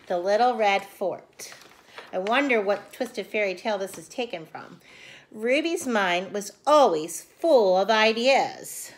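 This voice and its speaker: A woman in her thirties reading a picture book aloud to children like a teacher, in an expressive way. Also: mid-range voice, measured pace. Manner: expressive, addressing young children. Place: small room at home, indoors.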